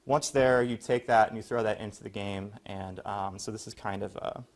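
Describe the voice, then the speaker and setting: A man's voice: fairly low pitch, steady tone, normal speed. A young American man giving an informal explanatory presentation as a college student. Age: young adult.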